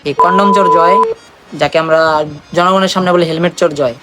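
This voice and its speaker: A man's voice: high voice, fairly even intonation, fast, loud music behind the voice. A young man with a Bangladeshi accent chatting casually as a vlogger, in a teasing, slangy way. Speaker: vlogger making prank calls. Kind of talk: casual vlog chat to viewers and on calls.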